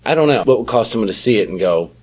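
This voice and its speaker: A man with a fairly low, husky voice talks casually as a podcast co-host. He is conversational and tends to put questions to his partner. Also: animated, racing, darkish tone. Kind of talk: casual chat.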